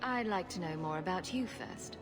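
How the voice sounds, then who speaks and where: A woman's voice: fairly low pitch, expressive, moderate pace, music in the background, close to an everyday voice. An actress voicing a witty, sarcastic young woman rogue in a video game cutscene. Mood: cool, probing. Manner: wry, sarcastic.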